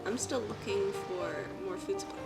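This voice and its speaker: A woman's voice: mid-range voice, normal speed. Offhand woman streamer, sounding distant under music. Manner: offhand, joking asides. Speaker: streamer playing a game. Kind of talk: casual live game commentary. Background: loud game music and dialogue.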